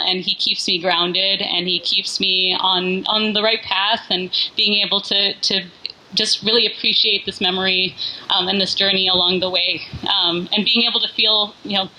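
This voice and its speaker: A woman's voice: medium pitch, pushed and bright, a little hiss or hum, poor audio. A woman in her forties from the Bay Area gives a casual, heartfelt welcome speech as an organization's founder, with frequent fillers and restarts. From Bay Area, California, US. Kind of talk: casual live speech to a party crowd. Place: indoor event venue.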